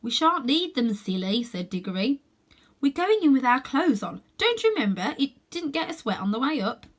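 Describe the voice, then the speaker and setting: A woman's voice: sits high, moderate pace, pitched above the performer's own voice. A woman doing an eager young boy. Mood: explaining. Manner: lively, raised childlike voice.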